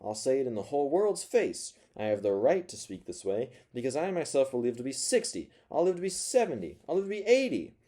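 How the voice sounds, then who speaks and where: A man reading aloud as a spiteful, self-mocking forty-year-old who rants from his corner, swinging between low mutters and loud sardonic outbursts. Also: very animated, moderate pace, few pauses, lightly acted. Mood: sardonic ranting. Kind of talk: first-person audiobook reading.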